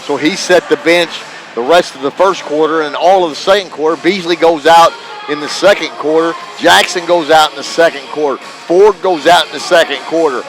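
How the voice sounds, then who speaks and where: An American man giving live radio analysis and stats on a high school basketball game from a noisy gym. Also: moderate pace, fairly bright tone, soft background music. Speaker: radio color commentator. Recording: noisy gym broadcast with bouncing balls.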